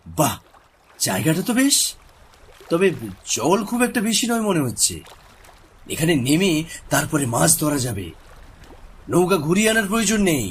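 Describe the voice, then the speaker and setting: Over faint background noise, a practical village fisherman from a cartoon, played by a man. The voice is a bit high and very animated, with a thoughtful manner. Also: moderate pace, pauses often. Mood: appraising. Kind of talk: Bangla cartoon voice acting.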